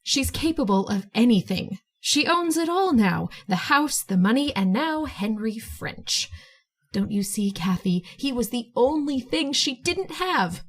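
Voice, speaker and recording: Scheming sister for radio horror play, female actor: husky, mocking, coldly manipulative. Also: fairly high pitch, lively intonation, unhurried. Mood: soothing, insinuating. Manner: mocking, insinuating. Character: cold, scheming, bitter sister, sarcastic and manipulative. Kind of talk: old-time radio horror drama acting.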